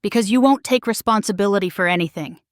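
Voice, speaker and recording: A fed-up husband speaks calmly and firmly, as a woman reading the story puts him on. His words come steady and measured, at a middling pitch. The sound is dry and close. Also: no room sound, close to an everyday voice. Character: calm, firm adult husband, fed up.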